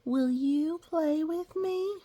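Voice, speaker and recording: A woman voicing a hopeful little girl looking for animal playmates, in a drawn-out, sing-song storybook delivery. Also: high voice, warm tone, no echo, pitched above the performer's own voice.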